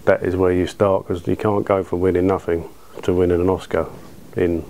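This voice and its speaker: A British man in his thirties talking informally in an interview, in a low-key, colloquial way. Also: flat delivery, moderate pace, dark tone, slight background noise. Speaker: cinema owner being interviewed. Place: small dark cinema auditorium, indoors. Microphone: lapel.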